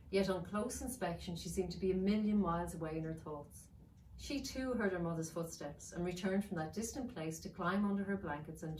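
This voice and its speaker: A woman children's author talks and reads a story aloud for children. Her voice is on the low side, recorded a little away from the mic in a roomy space with echo. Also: darkish tone. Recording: roomy, distant mic, faint noise.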